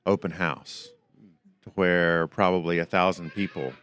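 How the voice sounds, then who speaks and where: A man's voice: low voice, fairly even intonation, a little slow, fairly bright tone, slight background noise. An American man hosting a podcast, asking his guests questions in a curious, conversational way. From United States. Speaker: podcast host. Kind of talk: casual interview chat.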